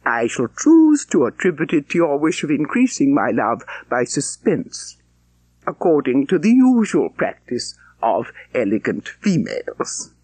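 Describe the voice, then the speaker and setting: Man playing smug clergyman suitor, audiobook character, pompous, obsequious, ponderous, very animated pitch, dry close sound. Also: a little slow, slightly rough, no echo, exaggerated voice. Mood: pompous, self-satisfied. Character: pompous, self-satisfied clergyman suitor, smugly formal.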